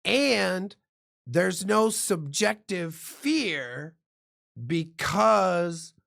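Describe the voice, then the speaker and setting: A man's voice: sits high, animated, extremely slow, creaky, fairly bright tone. A man who works as a defense lawyer, explaining the law like a lecturer in profane street slang. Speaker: guest criminal defense lawyer.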